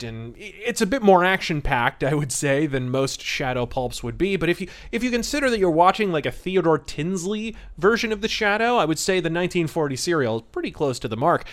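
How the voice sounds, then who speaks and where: A man's voice: medium pitch, a bit bright. A man hosting a podcast, giving commentary in an emphatic, theatrical manner with florid wording. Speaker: podcast host. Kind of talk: podcast commentary, semi-scripted talk.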